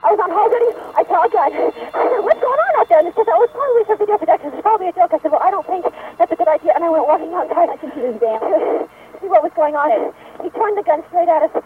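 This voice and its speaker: A woman's voice: extremely high pitch, lively intonation, quick pace. An American woman in distress, making an urgent, panicked report on an emergency phone call. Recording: noisy, tinny archival emergency phone line.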